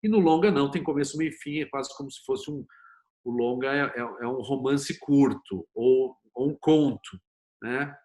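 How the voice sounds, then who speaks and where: A Brazilian man with a medium-pitched voice speaks as a guest in an interview. He explains conversationally, telling things like little stories. He often closes a thought with a short tag question. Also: normal speed, a little mellow. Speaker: interview guest, series producer and screenwriter.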